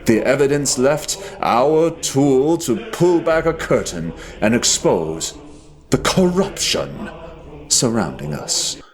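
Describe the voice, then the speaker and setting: A man giving a deliberate, portentous dramatic reading of a manifesto over choral music. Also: medium pitch, big pitch swings. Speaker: reader. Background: dramatic orchestral choral music.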